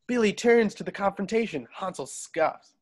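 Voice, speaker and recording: A man with a slightly high voice reads scripted stage directions. He narrates as part of an amateur table read held over a video call. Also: animated, normal speed.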